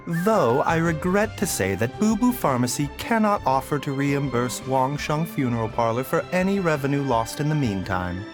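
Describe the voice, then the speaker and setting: A courteous male doctor with a lively, varied lilt and formal phrasing. He is a composed, secretive game character, with faint music behind him. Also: fairly high pitch. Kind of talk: video game voice acting.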